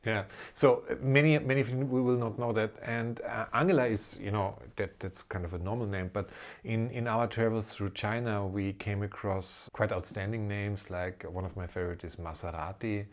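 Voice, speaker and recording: A man hosting a podcast conversationally, a little halting and sometimes repeating his words. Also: fairly low pitch, fairly even intonation. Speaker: podcast host, corporate lawyer.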